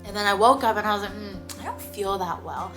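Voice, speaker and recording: A woman's voice: mid-range voice, a bit bright, faint music behind. A young woman, chatting to her camera about her own experience in a casual vlog, full of "like" fillers and her voice a little stuffy from congestion. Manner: chatty, many "like" fillers, quick laughs. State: slightly stuffy nose. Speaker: vlogger.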